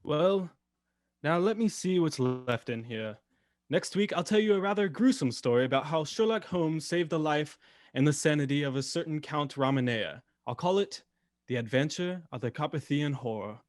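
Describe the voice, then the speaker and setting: A genial gentleman storyteller, played by an actor in the manner of old radio drama. His voice sits fairly high and is recorded quite dry, as he teases the listener with tales. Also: expressive, moderate pace, no echo, close to an everyday voice. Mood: genial, teasing. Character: Doctor Watson, genial storyteller teasing next week's tale.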